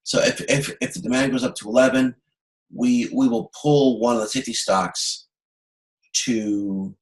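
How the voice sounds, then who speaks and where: A man giving an informal lecture as a course instructor over a video conference, explaining haltingly with frequent pauses and self-corrections. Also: medium pitch, low-quality recording.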